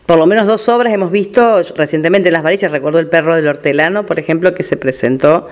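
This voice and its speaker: A man with an Argentine accent hosts a radio interview in a conversational style. His voice is somewhat high for a man as he puts questions and comments to his guest. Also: quick pace, slight background noise.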